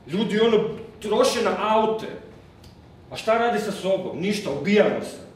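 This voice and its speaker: A man's voice: high voice, animated, normal speed. A man in his thirties organizing a meeting, rambling casually with many fillers. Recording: distant mic in an echoey room with crowd.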